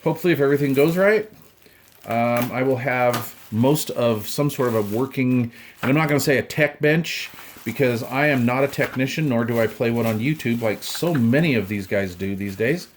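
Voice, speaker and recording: A man in his fifties with a mid-pitched voice talks like a hobbyist showing things to friends. His delivery is casual and folksy. He rambles along in loose, informal slang. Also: moderate pace, a little hiss or hum. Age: 50s. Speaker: radio hobbyist vlogger. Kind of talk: casual unboxing chat.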